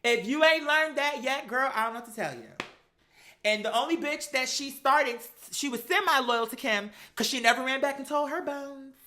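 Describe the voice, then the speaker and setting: Bright-voiced, sassy American woman. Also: high voice, normal speed. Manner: sassy, animated, slangy, acts out imagined dialogue; blunt, profane. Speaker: host of a reality TV commentary show. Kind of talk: casual opinionated TV recap chat.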